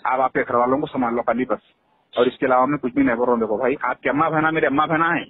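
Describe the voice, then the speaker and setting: A man's voice: mid-range voice, fairly even intonation, rapid-fire, husky. A man with a Hyderabadi accent in a heated, insistent phone conversation, swearing and repeating himself. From Hyderabad, India. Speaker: caller. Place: telephone call, played in a news upload. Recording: tinny phone call recording.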